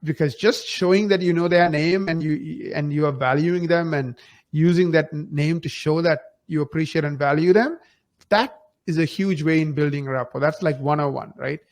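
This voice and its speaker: A man's voice: on the high side, normal speed. A man giving advice conversationally as a podcast guest, emphatic and fond of rhetorical questions and acted-out examples. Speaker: podcast guest, marketing company founder.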